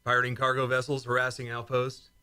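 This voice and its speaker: A man's voice: mid-range voice, completely flat delivery, fast, sharp tone, clear, resonant tone. A man acting as a loyal, plain-spoken starship commander in a sci-fi radio play, with military deference and a wry edge. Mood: incredulous.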